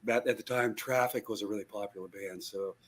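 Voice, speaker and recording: This is an older man in his sixties with a low-pitched, husky voice. He rambles through his memories with plenty of fillers and restarts. He is a guest on a casual interview held over a video call. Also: steady tone, fairly quick. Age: 60s. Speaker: guitarist guest.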